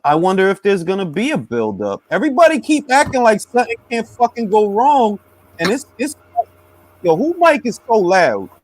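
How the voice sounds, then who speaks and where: A man's voice: high voice, very animated, moderate pace, rough recording. A young man hosting a casual boxing talk stream, bantering with loose, profane energy. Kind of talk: casual live-stream chat with viewers.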